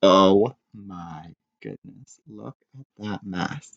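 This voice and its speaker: A man's voice: low-pitched, drawn-out words, gravelly. A man reading a picture book aloud expressively, adding asides and questions for his listeners. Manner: animated, prompts listeners with questions. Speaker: reader of a children's picture book, teacher. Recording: roomy, slightly dull sound.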